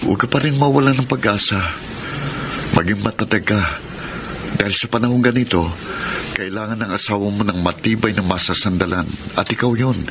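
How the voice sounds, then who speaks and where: Man acting kind tricycle driver, low gravelly voice, reassuring, earnest, radio drama, street noise behind. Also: a little fast, lightly acted. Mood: encouraging. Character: kind, steadfast male tricycle driver friend. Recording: street ambience and vehicle noise.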